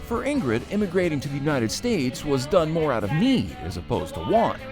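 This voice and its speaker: Female reporter, very animated pitch, scripted feature voice-over, music bed clear beneath. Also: brisk. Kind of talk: scripted news narration.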